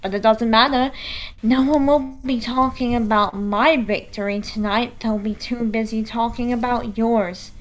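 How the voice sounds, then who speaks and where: A woman's voice: on the high side, fairly even intonation, measured pace, natural voice. A woman playing a proud, competitive teenage demigod girl in an amateur musical table read. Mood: driven.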